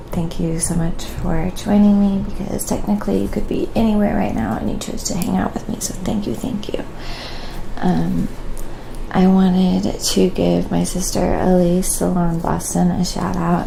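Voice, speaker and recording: Female ASMR streamer: very slow, gentle and hushed delivery, informal live chat, clear background noise. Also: lowish voice. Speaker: live stream host and painter.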